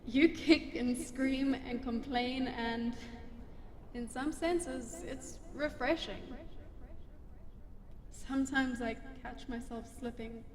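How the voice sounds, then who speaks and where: An actress playing a restless, hot-tempered, irreverent woman with a sardonic, sweary manner and a soft side, in an audio drama. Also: fairly high pitch, big-room sound, slight background noise.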